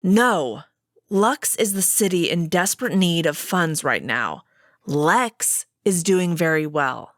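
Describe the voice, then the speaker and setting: A woman's voice: fairly low pitch, expressive, slow pace, dry recording, clean studio sound. A woman voicing the emperor's stern wolf sister, who corrects him curtly and bossily. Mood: annoyed. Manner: curt, scolding.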